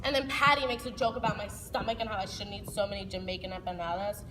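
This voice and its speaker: A woman acting an excitable, streetwise young city girl in live theater, with animated, slangy, dramatic delivery. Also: mid-range voice, fast, loud music behind the voice, close to an everyday voice. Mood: excited storytelling. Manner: animated, slangy, breathless dramatic build-ups. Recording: noisy echoey hall, distant mic.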